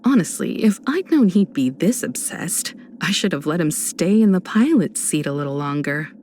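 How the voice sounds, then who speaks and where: An actress voicing a composed adult woman space traveler in a video game, a warm mentor who speaks in a measured, reflective, gently teasing way. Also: on the low side, expressive, slight background noise, lightly acted. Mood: fond, amused. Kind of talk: video game character voice lines.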